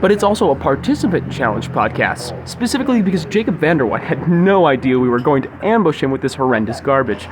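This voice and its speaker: A man's voice: on the high side, sing-song, fast, few pauses, noisy background. A young man hosting a podcast in a mock-formal, grandiose, wordy scripted style inside a busy restaurant. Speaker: podcast host. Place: recorded inside a sushi restaurant. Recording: busy restaurant chatter around the voice.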